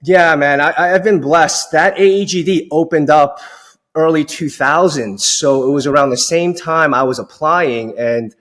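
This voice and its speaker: A man's voice: a little high, moderate pace, fairly bright tone. Casual middle-aged man telling his story. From Long Island, New York. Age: 40s. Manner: informal, says "you know" very often. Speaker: interview guest, dentist.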